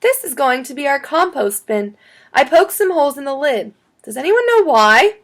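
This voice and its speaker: Calm primary school teacher explaining things to pupils, acted by a female narrator, high, expressive pitch. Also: moderate pace, exaggerated voice. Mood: instructive. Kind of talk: children's audiobook character.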